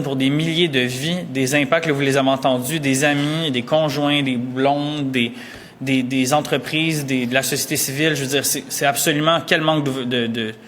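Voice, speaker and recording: A man's voice: medium pitch, fairly bright tone. Politician, male, indignant press statement, echoing hall, faint hum. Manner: indignant, emphatic.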